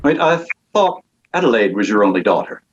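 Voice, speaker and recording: A man acting a stuffy, incredulous young gentleman in a period comedy read, on a dull lo-fi microphone. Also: lowish voice, sing-song, brisk.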